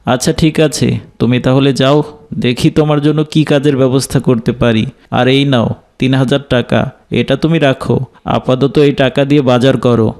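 A man playing a kind, helpful village neighbour in a cartoon, calm and reassuring. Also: mid-range voice, fairly quick, lightly acted. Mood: kindly. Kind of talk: animated moral story dialogue.

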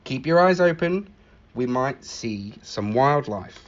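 A man reading a picture book aloud in an expressive, animated manner, acting out the exclamations. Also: medium pitch, takes it slowly, lo-fi sound. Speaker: library staff story reader.

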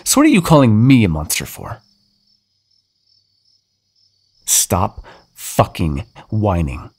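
A man's voice: lowish voice, wildly swooping pitch, measured pace, darkish tone, slightly rough, full character voice. A man voicing a centuries-old male vampire in an audio roleplay, condescending and teasing with sudden threats. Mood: angry.

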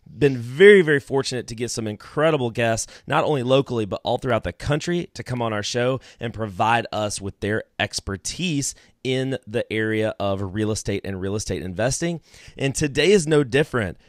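A man's voice: medium pitch, moderate pace, dry recording. A man, enthusiastically hosting a podcast interview over a video call with a studio mic. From Alabama. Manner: upbeat, enthusiastic. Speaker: podcast host. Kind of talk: casual interview. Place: office with banner backdrop, indoors. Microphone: studio mic in front, earbuds, video call.

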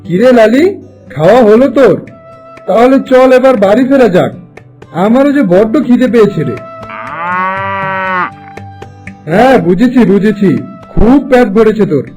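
Man acting young village cowherd, high mellow voice, earnest, humble, cartoon dub, music behind. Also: lively intonation, normal speed. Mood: cheerful, hungry. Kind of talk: Bengali fairy-tale cartoon dub.

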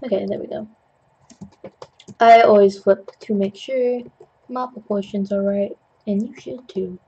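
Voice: mid-range voice, steady tone, unhurried, vocal fry, dark tone, breathy edge, lo-fi sound. A young adult host rambling jokily with puns while painting at a desk, coughing now and then, on a webcam mic at home. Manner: chatty, self-deprecating, jokey with puns. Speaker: digital art tutorial host.

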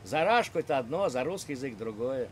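A man's voice: medium pitch, big pitch swings, fairly quick. An older man from Ukraine hosting chats with strangers on a video call from his home room, talking in a lecturing, provocative way with many hesitations. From Ukraine (Kharkiv). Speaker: chat roulette host. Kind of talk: casual video chat with strangers. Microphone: webcam on video call.

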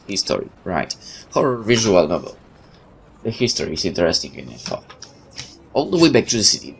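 Low-voiced man narrating a visual novel. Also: gravelly, slight background noise, rough recording. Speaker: let's play host and narrator. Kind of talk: reading a visual novel aloud with asides. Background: game music.

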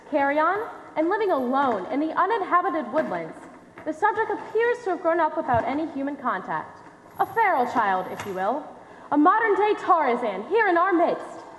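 Young woman acting earnest female scientist, theatrical formal delivery, lively intonation, reverberant hall. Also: high voice, slight background noise. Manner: projected, formal, deliberate. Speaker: stage actor in a high school one-act play. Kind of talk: theatrical stage speech, formal presentation. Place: on stage in a large dark auditorium.

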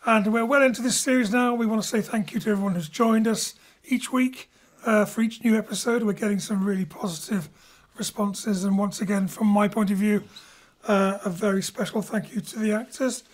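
A man's voice: high voice, fairly even intonation, fairly quick. Male theatre director as host, informal, chatty address to cast and listeners. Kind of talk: informal after-show chat.

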